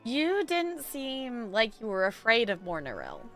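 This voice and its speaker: Female streamer, casual playthrough commentary, bright higher voice, chatty delivery. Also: huge pitch swings, unhurried, faint music behind. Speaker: game streamer. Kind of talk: casual playthrough commentary, reading game replies aloud.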